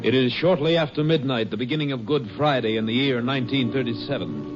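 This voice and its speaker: American radio narrator over loud music. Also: male voice, medium pitch, expressive, fast talker, highs cut off. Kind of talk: scripted 1950s radio narration.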